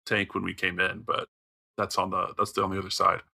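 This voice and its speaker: A man voicing a timid, friendly young male alien prisoner in a tabletop game, halting and stammering as he talks. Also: sits low, monotone, vocal fry, pushed and bright, dry recording, clean studio sound, full character voice. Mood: hesitant, helpful.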